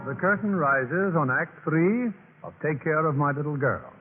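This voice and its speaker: A male announcer from the golden age of radio reads formal, theatrical narration. His voice sits a little high. It is tinny and band-limited, with music clearly behind him. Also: moderate pace.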